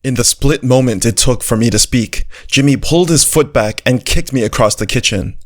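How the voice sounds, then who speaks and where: A man's voice: medium pitch, moderate pace, dry recording, clean studio sound, close to an everyday voice. A man voicing a brave, loyal little dog who narrates his own story in a simple, earnest way. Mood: weary. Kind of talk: first-person scripted story narration. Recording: music behind the voice.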